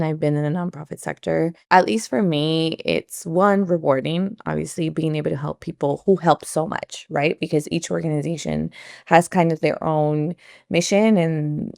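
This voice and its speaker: A low-voiced woman in her thirties with a gravelly edge, chatting as an interview guest. She talks in a loose, filler-heavy way and drops in the odd Spanish word. Close, dry sound with no room echo. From Colombia. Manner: chatty, warm-hearted, many fillers like "like" and "you know", mixes in Spanish words. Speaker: nonprofit consultant and founder, guest. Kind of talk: casual conversational interview.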